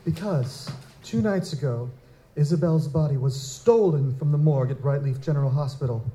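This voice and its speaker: A very dark, mellow and fairly high male voice for a young baron, acted by a man, courtly and deliberate. He carries himself with formal, determined gravity in an audio drama, with faint noise behind him. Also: lightly acted. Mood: grave, grieving. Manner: formal, aristocratic, deliberate phrasing. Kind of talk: live audio drama acting.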